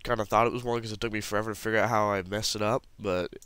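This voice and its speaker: A man's voice: on the low side, level tone, racing, a bit bright, smooth, no room sound. A man with the flu, sounding congested, casually talking through a puzzle tutorial over a screen recording. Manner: casual, walks through steps while clicking. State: has the flu, congested and sniffling.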